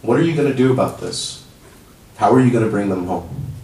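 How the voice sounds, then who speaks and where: A young man whose first language is Urdu, speaking in a halting, pleading way at a public comment podium in a council chamber. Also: lowish voice, animated, dark tone. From child of immigrants. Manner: halting, many restarts, rising to pleading urgency. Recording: roomy hall, distant mic, faint hum.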